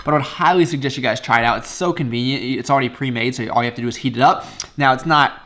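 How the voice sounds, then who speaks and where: A man's voice: medium pitch, fast, a bit bright. A young man talking casually to his vlog audience, upbeat and smiley, rambling with frequent restarts as he gives his opinions. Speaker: fitness and cooking vlogger.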